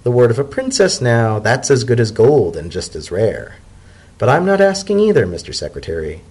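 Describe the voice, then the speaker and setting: A man voicing an elderly female zebra scholar-diplomat in a storybook reading, genteel, shrewd and indulgent, with measured, formal, gently wry speech. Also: mid-range voice, huge pitch swings, slightly rough. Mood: shrewd, agreeable.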